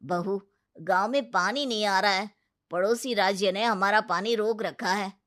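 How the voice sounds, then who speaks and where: A woman's voice: mid-range voice, a little slow, a bit bright, close to an everyday voice. A woman voicing a village council elder in a Hindi moral-story cartoon, blunt and earnest in an emphatic delivery. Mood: earnest pleading.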